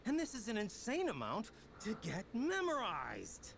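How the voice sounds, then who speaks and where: Cocky video game hero, highish swooping voice, sardonic drawl, exasperated. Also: male voice, huge pitch swings, a little slow, gravelly, a bit bright, a little hiss or hum, exaggerated voice. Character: wisecracking, exasperated young man, cocky but loyal. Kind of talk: animated video game dialogue.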